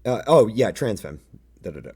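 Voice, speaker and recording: A man in his late thirties chatting casually and haltingly with livestream viewers, in a self-deprecating way. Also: sing-song, darkish tone, husky. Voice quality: deep. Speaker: streamer reading own fan fiction.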